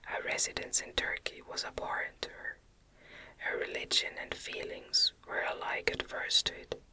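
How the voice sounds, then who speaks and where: A woman gently reading a gothic novel aloud as ASMR, in a breathy, measured whisper. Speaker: audiobook reader.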